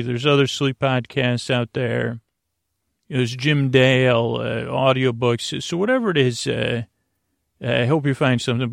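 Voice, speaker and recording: A man hosting a sleep podcast with a rambling bedtime monologue, halting and digressive, in a gravelly voice. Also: mid-range voice, no echo, clean studio sound. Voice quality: creaky, gravelly voice. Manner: halting, meandering, digressive, self-interrupting.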